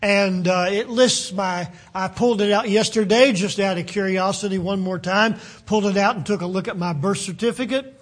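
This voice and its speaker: An older man from West Virginia with a West Virginian accent, preaching a sermon in a halting way with many fillers and restarts. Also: sits high. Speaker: pastor.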